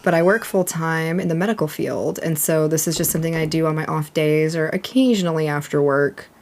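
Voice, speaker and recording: A woman in her thirties from Texas chatting casually and relaxed while streaming a game, reading chat aloud. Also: low-pitched, fairly quick, a little hiss or hum.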